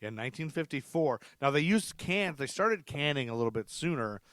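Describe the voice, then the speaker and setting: A man's voice: on the high side, expressive, fairly quick, fairly bright tone. A man hosting a casual history podcast, chatty and rambling, laughing often. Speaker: podcast host. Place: lives in Charlotte.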